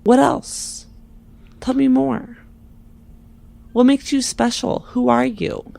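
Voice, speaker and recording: A woman playing a polite, compassionate wife who hopes to adopt, gentle and hesitant, in a tabletop game. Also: high-pitched, fairly even intonation, takes it slowly, vocal fry, pitched above the performer's own voice, exaggerated voice. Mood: polite, coaxing.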